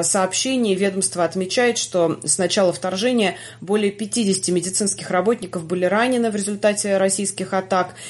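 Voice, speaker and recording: A woman hosting a news podcast, reading a daily summary in a measured way with frequent hesitations. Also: medium pitch, darkish tone. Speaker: podcast host.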